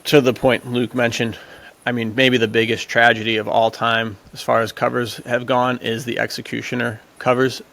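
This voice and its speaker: A man's voice: medium pitch, level tone, normal speed, creaky, a bit bright, low-quality recording. A man chatting casually as a podcast panelist, talking in an enthusiastic, rambling way. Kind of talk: casual chat.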